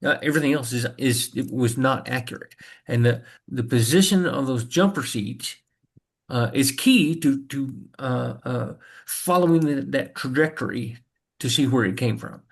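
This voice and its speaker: A man who is a screenwriter, talking informally and haltingly in a feedback discussion about his work. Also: medium pitch, vocal fry, slightly rough.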